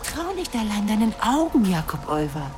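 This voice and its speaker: Woman acting ageless witch, cryptic, taunting, mid-pitched, eerie radio drama, music behind. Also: very animated, normal speed, close to an everyday voice. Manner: cryptic, taunting, speaking in riddles. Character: eerie forest witch calling herself old crow, ancient yet young-looking.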